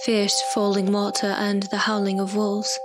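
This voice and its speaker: An actress in an audio drama playing an entranced woman, speaking in a hushed, trance-like way. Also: medium pitch, completely flat delivery, music in the background, lightly acted. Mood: uneasy, entranced. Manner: slow, hushed, trance-like delivery.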